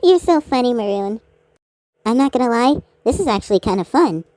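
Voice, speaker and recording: A woman's voice: a little high, expressive, fairly quick, a little mellow, no echo, studio quality. A woman doing amateur cartoon voice acting in an exaggerated, theatrical manner.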